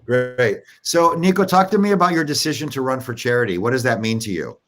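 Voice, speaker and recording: A male host leads a live interview and keeps his questions upbeat and encouraging. His voice sits at an ordinary middle pitch. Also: brisk, soft background music.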